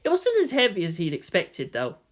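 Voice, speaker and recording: A man's voice: high voice, wildly swooping pitch, fairly quick. A man narrating a story from a script as a fan fiction reader.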